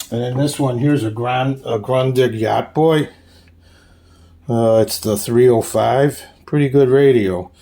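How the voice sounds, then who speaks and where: Slow-talking, creaky-voiced man showing his collection. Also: mid-range voice, mellow. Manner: halting, unscripted, searching for names. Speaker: hobbyist showing a radio collection. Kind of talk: casual show-and-tell narration.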